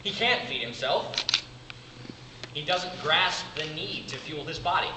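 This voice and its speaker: A man playing a weary, devoted big brother in a theatrical stage monologue, speaking deliberately with dramatic pauses. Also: a little high, animated. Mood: earnest, emphatic. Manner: deliberate, dramatic pauses between short phrases. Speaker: amateur drama club actor. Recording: distant mic, echoey room, clearly noisy.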